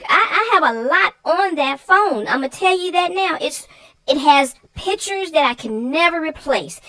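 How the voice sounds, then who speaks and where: A woman with a high voice chats as a co-host. She talks emphatically and expressively and laughs easily. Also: lively intonation, unhurried, a bit bright. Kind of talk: casual chat.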